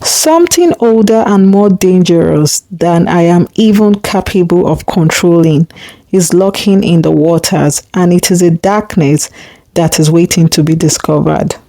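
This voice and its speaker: A woman voicing a gentle but commanding river spirit mother in a storybook tale, solemn and measured. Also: fairly low pitch, no room sound. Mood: grave warning. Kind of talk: storybook character reading.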